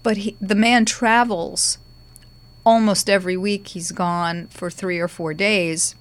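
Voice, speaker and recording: A middle-aged woman with a gravelly voice, hosting a guitar lesson podcast in a chatty, warm-hearted way with frequent ums. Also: medium pitch, fairly even intonation, slow. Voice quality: gravelly today. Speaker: guitar teacher and podcast host. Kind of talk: casual podcast talk. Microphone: studio microphone.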